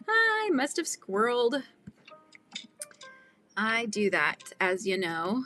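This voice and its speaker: A woman's voice: on the high side, wildly swooping pitch, very slow, pushed and bright, soft background music. A middle-aged woman hosting a casual crochet livestream, chatting and laughing as she reads viewer comments. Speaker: livestream host. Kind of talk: casual chat while crocheting.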